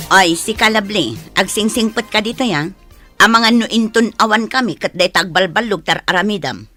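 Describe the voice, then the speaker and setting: A woman's voice: mid-range voice, moderate pace, bright, forceful tone, soft background music, natural voice. A woman with an Ilocano accent playing a stern, nagging village mother in a radio drama. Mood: scolding.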